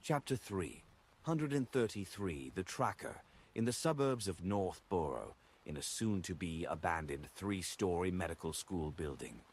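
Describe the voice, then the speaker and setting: Husky, deep-voiced male audiobook narrator. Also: sing-song, a little slow. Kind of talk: scripted novel narration.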